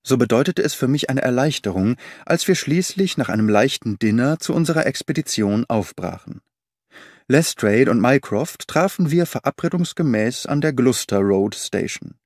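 A man narrating an audiobook in the first person, measured and expressive. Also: mid-range voice, dry recording, studio quality.

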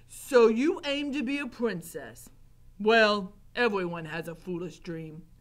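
A woman voicing a gruff old male jailer in a story reading, scornful and solemn, in clipped pronouncements about himself in the third person. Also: mid-range voice, unhurried, close to an everyday voice. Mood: gruff, stern.

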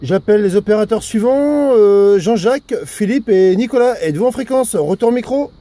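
High-pitched man hailing on CB radio. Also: animated, dark tone, no room sound. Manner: repetitive, halting, formulaic announcing. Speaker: amateur radio operator. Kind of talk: CB radio calling, formal procedural hailing.

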